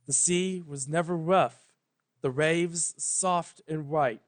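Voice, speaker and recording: A narrator reading a dramatic story in a measured, solemn manner, pausing between phrases. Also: mid-range voice, dry recording. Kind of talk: scripted dramatic fan fiction narration.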